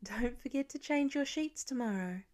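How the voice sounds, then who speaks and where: A woman's voice: a little high, expressive, moderate pace, darkish tone, natural voice. A woman voicing a loving, practical mother in a storybook reading, soothing and matter-of-fact. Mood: reassuring.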